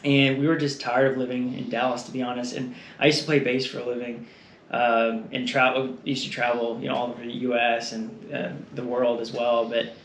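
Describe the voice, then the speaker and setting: A man's voice: mid-range voice, flat delivery, a little fast, fairly bright tone. Rambling man in an echoey room. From Texas. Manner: rambling, laughs often, trails off with fillers. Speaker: guest. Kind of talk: casual conversational interview. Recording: echoey room with faint noise.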